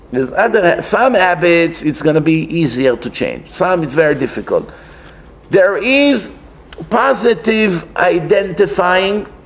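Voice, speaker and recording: High-pitched Israeli-accented male rabbi lecturing. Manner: explanatory, emphatic, rhetorical questions, repeats phrases. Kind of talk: religious lecture, conversational preaching. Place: indoors, wood-panelled synagogue, seated at a lectern.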